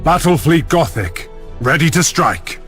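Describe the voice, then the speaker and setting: A man's voice: on the high side, lively intonation, a little slow, fairly bright tone, soft background music. A man voicing a resolute, dutiful fleet admiral in a sci-fi game, speaking in an earnest, formal military manner. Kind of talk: dramatic sci-fi game cutscene acting.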